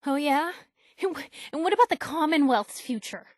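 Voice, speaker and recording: A woman's voice: high voice, expressive, moderate pace, dry recording, studio quality. An actress playing a plucky, sardonic young woman reporter in a post-apocalyptic video game, with a wry, sassy, informal way of talking. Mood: alarmed, intense. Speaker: video game companion character. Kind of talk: video game voice acting, conversational one-liners.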